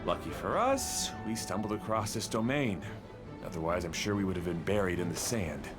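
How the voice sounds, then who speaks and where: A man's voice: low voice, animated, normal speed, over music. A man playing a proud, peevish, self-important character in a video game. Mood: indignant, proud.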